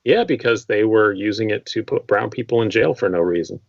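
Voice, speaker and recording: A man in his thirties with a fairly low voice talks as a podcast guest, matter-of-fact and ready with dry teasing jokes. The sound is dry and close, dulled like a lo-fi recording. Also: expressive, fairly quick, gravelly, no echo. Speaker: podcast guest, comedian and knife writer. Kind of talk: casual podcast chat.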